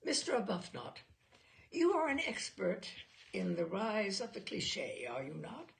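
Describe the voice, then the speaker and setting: Formal, creaky-voiced courtroom examiner read by a woman. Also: medium pitch, big pitch swings, unhurried, full character voice. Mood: formal, courteous. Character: formal, methodical examiner questioning an expert witness.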